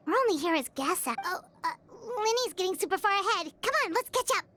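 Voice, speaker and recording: A woman's voice: expressive, fairly quick, fairly bright tone, a little hiss or hum. A woman voicing a small, childlike, chatty female fairy companion in a video game. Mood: curious. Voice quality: squeaky, childlike.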